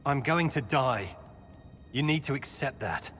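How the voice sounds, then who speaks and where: A man's voice: mid-range voice, animated, a little slow, fairly bright tone, in a large hall, soft background music. An actor playing a resigned young man, a villain's son, in a video game, earnest and pleading. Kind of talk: video game character acting.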